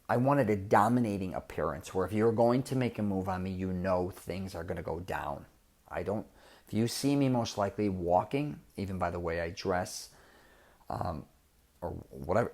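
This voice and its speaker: A middle-aged man with a somewhat low voice talks straight at the listener. He sounds blunt and direct, like a coach giving frank advice in a casual podcast monologue. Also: a little mellow. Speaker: podcast host.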